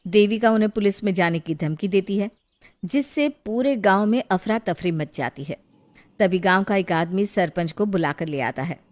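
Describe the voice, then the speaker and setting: A woman's voice: mid-range voice, normal speed, a little mellow. A woman reading scripted narration as the storyteller of a tale.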